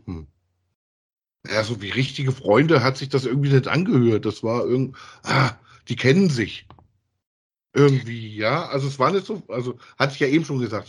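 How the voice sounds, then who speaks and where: A man, chatting casually as a podcast co-host, thinking aloud haltingly and repeating himself. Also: medium pitch, breathy edge. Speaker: podcast co-host reviewing audio dramas. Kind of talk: casual chat, reviewing audio plays.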